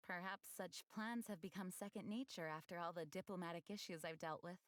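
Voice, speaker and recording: An actress playing a composed, dutiful knight leader in a video game, measured, formal and courteous. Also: female voice, lowish voice, steady tone, dry recording, natural voice. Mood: calm, reassuring.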